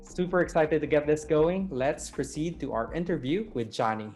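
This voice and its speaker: A man's voice: fairly high pitch, moderate pace, darkish tone, soft background music. A man hosting an interview show, introducing the guest in an upbeat, promotional way. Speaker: podcast host.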